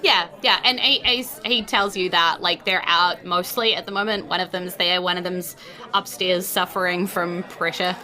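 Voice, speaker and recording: A woman's voice: fairly low pitch, steady tone, moderate pace, bright, forceful tone, a faint crowd. A woman, narrating a tabletop game as game master in an informal, conversational way with casual asides.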